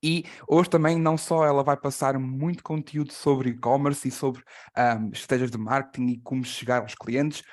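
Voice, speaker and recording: A man's voice: medium pitch, steady tone, brisk. A man with a Portuguese accent, a native Portuguese speaker, hosting an online class and introducing a guest. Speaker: host and translator of an online class. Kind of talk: introducing a guest on a live call.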